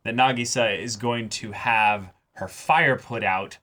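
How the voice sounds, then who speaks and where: A man co-hosting a reaction show, talking casually and excitedly with a dramatic, theatrical streak. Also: medium pitch, unhurried. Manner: animated, breaks into dramatic mock-quoted voices. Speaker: co-host of an anime reaction show. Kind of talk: casual excitable discussion between two hosts.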